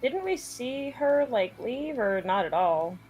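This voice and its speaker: Lively, swooping woman at a game session. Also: on the high side, slow, slightly rough, lo-fi sound. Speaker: player in a role-playing game. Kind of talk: casual game chat. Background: music in the background.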